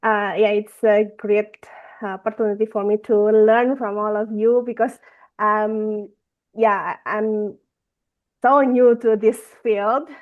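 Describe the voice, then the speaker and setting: A woman's voice: medium pitch, little pitch movement, slow, phone-quality sound. A woman with an Indonesian accent introducing herself politely and hesitantly over a thin-sounding video call. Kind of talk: informal self-introduction.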